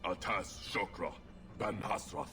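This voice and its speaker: Very deep, stoic warrior. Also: male voice, music in the background. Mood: stern, resolute. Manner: stoic, measured, formal. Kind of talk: fantasy video game acting.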